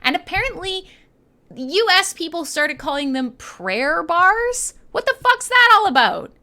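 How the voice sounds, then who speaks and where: Casting: Canadian female radio host, crisp bright edge, loose conversational patter, cheeky and foul-mouthed. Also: very high voice, big pitch swings, a little slow. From western Canada. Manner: irreverent, profane, playful. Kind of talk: casual live chat between music tracks.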